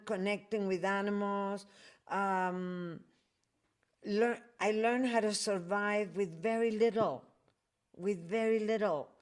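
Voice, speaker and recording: Woman, very slow unhurried pace, reflective podcast host. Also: mid-range voice. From Chile and Peru. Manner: slow, reflective, searching for words. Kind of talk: conversational interview.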